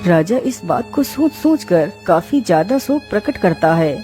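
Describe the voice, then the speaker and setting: A woman's voice: on the low side, sing-song, measured pace, mellow. A woman reading scripted storybook narration for a cartoon. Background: clear background music.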